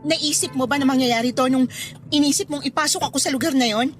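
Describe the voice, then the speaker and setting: Woman acting Milet, high-voiced hurt but forgiving girlfriend. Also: fast talker, faint music behind, lightly acted. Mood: hurt, reproachful. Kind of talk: Tagalog radio drama acting.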